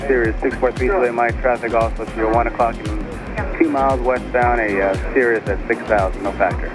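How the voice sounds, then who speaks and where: Man, aviation radio, controller, flat delivery, medium pitch, music in background. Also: a little fast. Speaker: air traffic controller. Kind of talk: clipped procedural radio calls.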